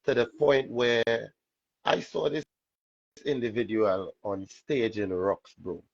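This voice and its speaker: A man with a Trinidadian accent and a raspy voice, telling animated stories as a guest in a casual interview. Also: medium pitch, slow pace, no room sound, lo-fi sound. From Trinidad and Tobago. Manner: animated storytelling, laughs often. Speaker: soca artist guest.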